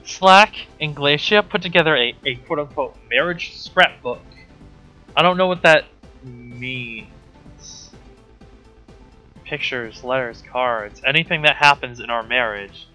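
A man's voice: medium pitch, bright, forceful tone, muffled recording. A man giving a casual comedic rant as a book commentator, with an exasperated, sarcastic, profane way of talking. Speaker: host reviewing a book.

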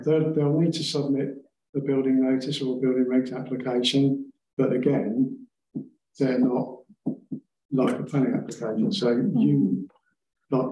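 A man on a council committee explaining how planning rules work, in a halting way with many restarts. His tone is mellow and relaxed. The room is echoey, with faint ambient noise behind him. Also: mid-range voice. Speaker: committee member. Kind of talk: council meeting discussion. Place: large meeting room.

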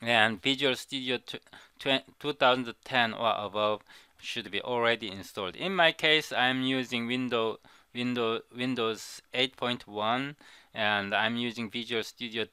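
A man's voice: mid-range voice, measured pace, pushed and bright, clean tone, dry recording. A middle-aged man, a native Korean speaker, gives a coding tutorial over a screen recording, often repeating and restarting his words. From South Korea. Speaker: programming tutor.

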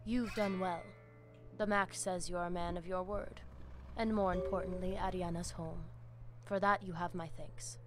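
A woman's voice: fairly low pitch, steady tone, normal speed, music in the background, close to an everyday voice. A woman playing a stern vampire gang boss in a video game, firm and menacing. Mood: stern, measured. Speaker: vampire gang leader character. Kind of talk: scripted video game dialogue.

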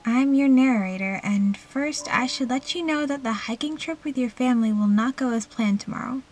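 A woman voicing the ominous, taunting narrator of a cursed book, measured and foreboding, in an amateur doll story. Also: on the high side, a little mellow, lightly acted. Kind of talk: amateur doll stop-motion story acting.

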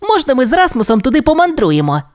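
A woman voicing an excitable, pushy and fearless little boy dinosaur in a children's story, eager and pleading. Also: sits high, fast, pitched above the performer's own voice. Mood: eager, curious.

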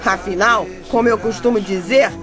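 An actress playing a chatty, exasperated bricklayer's wife who narrates a radio soap opera in a wry Brazilian voice, addressing listeners directly. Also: female voice, medium pitch, normal speed, lightly acted. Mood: wry storytelling. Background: music under the voice.

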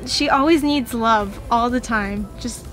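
A woman's voice: fairly high pitch, measured pace, a bit bright. A young woman chatting casually to her viewers as a vlogger, inside a truck cab. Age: young adult. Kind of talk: casual vlog chat to viewers. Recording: music behind the voice. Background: music.

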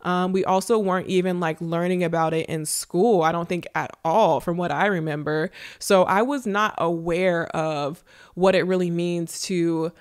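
A woman's voice: on the low side, fairly even intonation, moderate pace, clean tone. A young American woman with a congested, nasal voice, chatting casually and directly to listeners as a solo podcast host, close on a studio mic. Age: late 20s to early 30s. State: congested with a runny nose. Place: small indoor room with shelving. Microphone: close studio podcast microphone with headphones.

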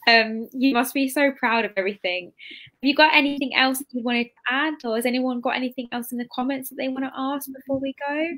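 Young woman, twenties, high-pitched voice, chatty and effusive, interview host, casual video-call chat. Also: steady tone, a little fast, a bit bright. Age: 20s. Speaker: interviewer, bookshop event host. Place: indoor room at home, on a video call.

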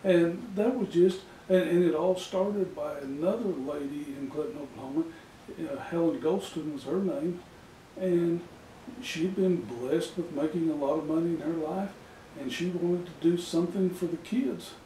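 Older man, chatty storyteller, soft mellow voice, miked from afar in a roomy office. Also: a little high, moderate pace, slight background noise. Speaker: interviewee. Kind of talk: casual interview. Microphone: far camera. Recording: echoey office from far off.

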